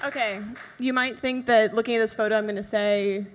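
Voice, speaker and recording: A woman's voice: fairly high pitch, steady tone, brisk, big-room sound, very noisy. A woman giving a playful, upbeat talk on stage, laughing as she goes. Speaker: presenter at a live talk night.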